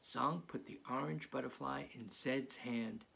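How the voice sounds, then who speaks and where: A man reading aloud as a children's author, in an animated storybook style. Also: medium pitch, measured pace, creaky, breathy edge.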